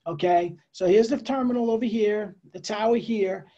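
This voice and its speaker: A middle-aged man with an American accent explains slides as an air traffic controller, conversational and a bit halting, with pauses and asides. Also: high-pitched, mellow, dull audio. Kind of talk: informal slide presentation, explanatory. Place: indoors, on a video call.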